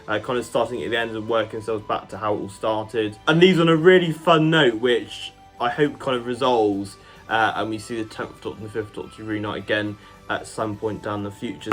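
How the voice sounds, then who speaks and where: Guy doing a casual fan review for his channel, chatty and keen, medium pitched voice. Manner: chatty, enthusiastic, hedging with "kind of" and "like". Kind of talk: casual audio drama review to viewers.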